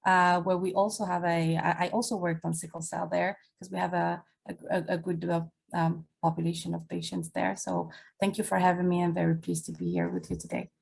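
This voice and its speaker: Woman from Algeria, medical advisor, lowish gravelly voice, polite. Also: level tone, fairly quick, a little mellow. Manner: polite, a few stumbles. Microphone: video call.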